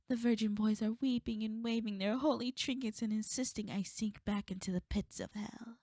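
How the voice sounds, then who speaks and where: Woman doing a sly seductive succubus, asmr roleplay, slow n breathy, whispery, super close dry sound. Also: fairly high pitch, warm tone, no room sound. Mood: amused, teasing. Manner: breathy, teasing, often dropping into whispers. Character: sly, seductive female succubus, taunting and teasing. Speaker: audio roleplay performer. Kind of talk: intimate ASMR roleplay acting.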